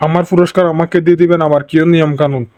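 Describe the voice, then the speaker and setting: A man performs a wily, plain-spoken Chittagonian local in a comic phone skit. His voice sits a little high and moves quickly, with a colloquial, questioning delivery. The sound is close and dry. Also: fairly even intonation, darkish tone, no room sound, lightly acted. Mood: mock-naive, bargaining. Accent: Chittagonian Bangladeshi. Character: wily, blunt Chittagonian local man playing naive, then cursing. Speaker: prank call recipient. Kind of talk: comic prank phone call dialogue.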